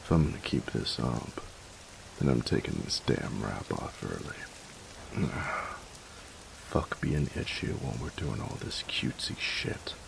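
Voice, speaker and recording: Man acting a very deep, gravelly bully boyfriend. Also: exaggerated voice. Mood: relaxed, pleased. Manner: gruff, teasing, halting when tender. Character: gruff young bully boyfriend, teasing but secretly tender. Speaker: voice actor in roleplay audio. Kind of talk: intimate audio roleplay, boyfriend monologue. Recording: hiss with rain sounds behind. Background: steady rain.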